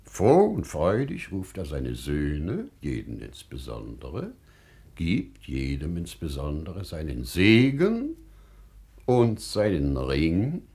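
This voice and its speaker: Wise, humble parable-teller for classical radio drama: unhurried, deliberate delivery with widely swinging intonation. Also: male voice, rumbling low, wildly swooping pitch, a little mellow, breathy edge, full character voice. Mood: tender narrating. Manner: measured, deliberate, dramatic phrasing with weighty pauses. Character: wise, humble storyteller addressing a sultan with a parable. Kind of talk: classical German stage-style radio play acting.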